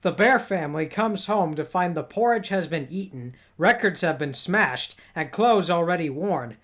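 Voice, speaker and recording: A man with a voice a little higher than usual narrates a scripted episode recap. He retells the story step by step as the narrator of a video essay. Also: lively intonation, normal speed, a bit bright.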